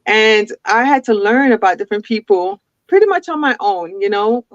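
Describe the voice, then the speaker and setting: A woman's voice: a little high, expressive, moderate pace, no room sound. A woman in her forties from Jamaica, with a mix of Jamaican and Brooklyn New York accents, chatting as a guest on a video call and laughing often. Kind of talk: casual interview over a video call. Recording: dull lo-fi video call audio.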